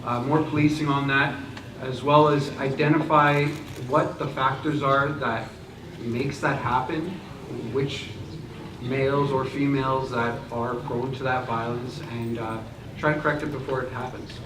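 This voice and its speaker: A man answering as a candidate at a public forum, loud and plainspoken. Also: mid-range voice, little pitch movement, unhurried. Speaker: political candidate. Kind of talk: debate answer. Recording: distant, noisy echoey hall.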